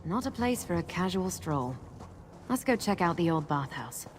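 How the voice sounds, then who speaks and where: A woman playing a guarded, determined guide in a fantasy video game, speaking in a measured, resolute manner. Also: on the low side, sing-song, slight background noise. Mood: matter-of-fact.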